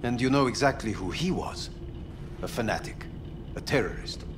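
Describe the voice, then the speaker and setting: A coolly clinical corporate scientist , voiced by a man speaks formally at a medium pitch. He is probing and condescending, a video game figure with noticeable ambient noise behind. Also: animated, normal speed, lightly acted. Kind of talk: video game dialogue acting.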